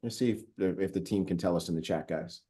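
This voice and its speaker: A man's voice: sits low, brisk, mellow. A man hosting a live online training call, upbeat and chatty, reading viewer comments aloud with frequent fillers. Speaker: host of a company training call. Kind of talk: casual live webinar hosting.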